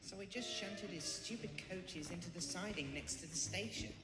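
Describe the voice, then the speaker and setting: Woman playing a grumpy young boy tank engine, echoey reverb, loud music behind, cartoon. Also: fairly low pitch, moderate pace, close to an everyday voice. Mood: irritated.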